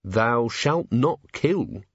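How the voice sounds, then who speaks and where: Earnest male policeman, voiced by a narrator, grave, courteous, formal, measured, raised pitch, storybook dialogue, slightly dull audio. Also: sing-song, slightly rough, no echo.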